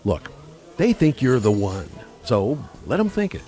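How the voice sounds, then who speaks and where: An actor playing a brash, skeptical, wisecracking buddy in a radio play, cajoling in colloquial speech. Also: male voice, mid-range voice, sing-song, unhurried, warm tone, faint music behind.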